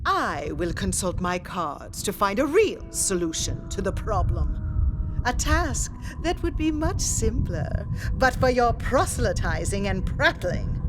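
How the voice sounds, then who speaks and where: A woman's voice: medium pitch, noisy background. A woman playing an imperious, scornful ghost medium in a theatrical audio drama, with a haughty, grandiose way of talking. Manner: haughty, grandiose, sharp-tongued.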